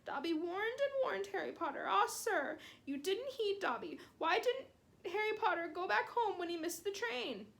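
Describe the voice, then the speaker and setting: A woman voicing a timid, servile male house elf who speaks in a high, squeaky, pleading way. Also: very animated, moderate pace, gravelly, fairly bright tone, full character voice. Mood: miserable. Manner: high squeaky pleading, third-person self-reference.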